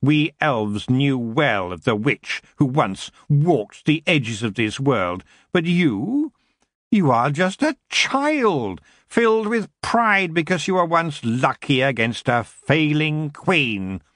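A man voicing a cruel male elf lord in a storybook reading, taunting slowly and with contempt, his words dragged out. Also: a little high, expressive, a bit bright, no room sound, clean studio sound, voice pushed up.

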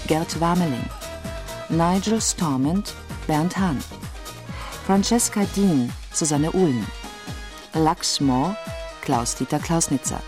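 Female radio drama announcer, low pitch, slow scripted credit read, music bed. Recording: music behind the voice.

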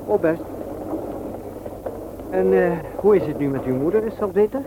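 A man's voice: sits high, animated, moderate pace, phone-quality sound. An actor playing a shy, polite widower in his thirties, hesitant and formal, in a Dutch radio play. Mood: polite, awkward. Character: shy, awkward, polite widower on a blind date. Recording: noisy old radio recording.

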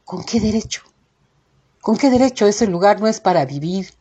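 A woman's voice: medium pitch, animated, moderate pace, mellow, husky. A woman with a Mexican accent giving an indignant, emphatic opinion monologue as a show host, full of rhetorical questions. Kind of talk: informal opinion monologue to listeners.

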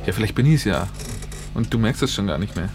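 A man's voice: lowish voice, animated, fast talker, darkish tone, slight background noise, close to an everyday voice. A man playing a teasing, sardonic band musician in a naturalistic radio drama, dry and colloquial.

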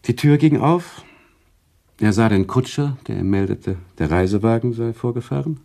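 Reflective storyteller, radio drama, dark relaxed timbre, gravelly edge, measured, restrained. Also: male voice, medium pitch. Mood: sober, reflective. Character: reflective male author-narrator, a sober, sympathetic confidant. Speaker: narrator. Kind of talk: radio drama narration and dialogue.

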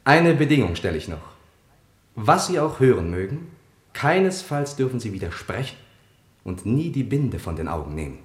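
An actor playing a truth-telling servant and diarist in a German radio play, formal and deliberate with quiet irony. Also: male voice, medium pitch, very animated, natural voice. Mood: calm, in control. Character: politely insolent male servant, the ruler's truth-telling diarist. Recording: roomy, echoing studio sound.

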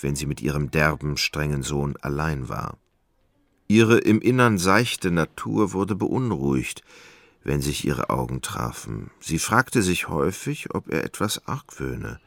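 Really deep gravelly guy narrating a literary radio drama, expressive pitch. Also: measured pace, no room sound. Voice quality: very deep, creaky.

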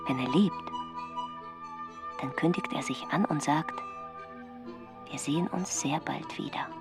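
Eine Schauspielerin spielt im Hörspiel eine sehnsüchtige, gequälte Frau, die sich an Hoffnung klammert, in vertraulichem, teils geflüstertem inneren Monolog. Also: female voice, sits low, a little slow, gravelly, over loud music. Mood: wistful, resolute. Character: yearning, anguished woman clinging to hope. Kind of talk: radio drama acting, inner monologue.

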